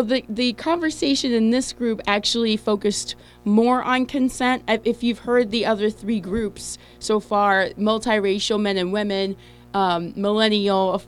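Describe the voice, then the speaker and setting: A woman's voice: medium pitch, clear, resonant tone. Chatty woman radio host. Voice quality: chatty, lots of ums and you-knows. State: slightly hoarse, losing her voice. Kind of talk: casual radio show intro.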